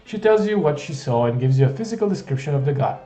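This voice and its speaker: A man's voice: on the high side, lively intonation, fast talker, mellow, reverberant, faint music behind. A man reading a text adventure game aloud, adding wry, jokey asides in a playful way.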